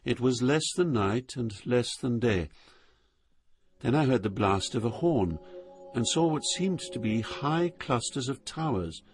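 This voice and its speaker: A man giving a scripted dramatic narration as storyteller, solemn and theatrical, with measured phrasing. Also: mid-range voice, expressive, breathy edge, faint music behind.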